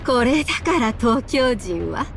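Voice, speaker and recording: An actress playing an arrogant, scornful aunt from an old wealthy family in a visual novel. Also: female voice, a little high, expressive, fairly quick, soft background music. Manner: arrogant, sneering, drawling.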